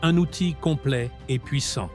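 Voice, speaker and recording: A man reading a scripted narration with a measured, solemn delivery. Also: mid-range voice. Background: music.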